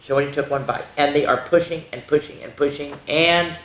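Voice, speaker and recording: A woman hosting a children's story time, reading a picture book aloud expressively, with exclamations and asides to the young listeners. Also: low-pitched. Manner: expressive, exclaiming, pausing to comment and ask the listeners. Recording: echoey room.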